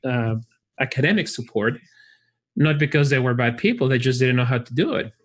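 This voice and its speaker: A man with a Chilean Spanish accent, a college recruitment director, talking in a friendly, relaxed way with frequent restarts in a casual interview. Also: medium pitch, brisk. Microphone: video call.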